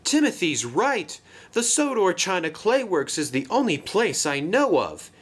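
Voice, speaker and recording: A man voicing a chatty, naive, excitable female engine in a children's train story, gushing and easily flustered. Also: sits high, a little slow, pitched above the performer's own voice, full character voice. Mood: distraught.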